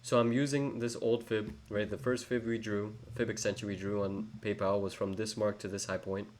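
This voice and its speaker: A man's voice: fairly low pitch, level tone, normal speed, darkish tone. A young man with an English accent, rambling through a live trading tutorial with many fillers and self-corrections. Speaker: trading educator. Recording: faint background noise.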